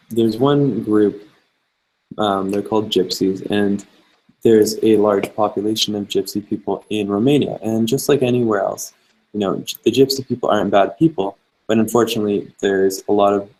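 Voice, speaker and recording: A young man with a low voice chats loosely with his viewers, reading out their questions and answering off the cuff. His tone is gravelly with a touch of fry, and he fills gaps with easy ums and likes. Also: poor audio. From Canada. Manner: relaxed and chatty, many fillers, reads questions aloud. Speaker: travel vlogger. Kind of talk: casual livestream chat answering viewer questions.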